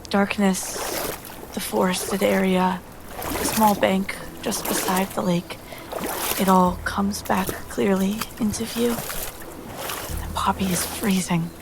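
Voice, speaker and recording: A woman playing a tabletop game, narrating her character's scene in a soft, measured storytelling way. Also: medium pitch, steady tone, creaky, noisy background. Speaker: tabletop roleplay player. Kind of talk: descriptive in-character fantasy narration.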